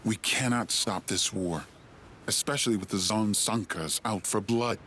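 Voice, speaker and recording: Low-voiced, grave alien rider. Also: male voice, measured pace, fairly bright tone, slight background noise. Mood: grave, worried. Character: worried, loyal alien rider leader. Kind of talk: video game cutscene acting.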